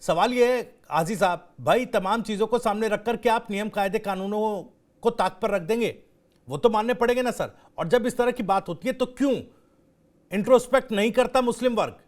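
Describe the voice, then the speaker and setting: A man's voice: high-pitched, a little fast. A man anchoring a live TV news debate, putting pressing, challenging questions to panelists.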